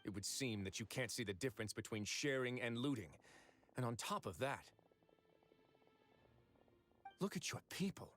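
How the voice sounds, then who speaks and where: An actor playing a composed, stern young duke of a prison fortress in a video game, measured, confident and coolly mocking. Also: male voice, medium pitch, animated, fairly bright tone, soft background music, natural voice. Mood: stern conviction. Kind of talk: dramatic video game voice acting.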